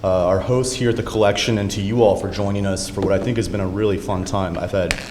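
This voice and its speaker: A young man delivers an academic lecture in a somewhat low voice, friendly and measured, with the odd filler as he finds his words. The sound rings with long hall reverb over a faint hiss. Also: fairly even intonation. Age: young adult. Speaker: lecturer, doctoral candidate.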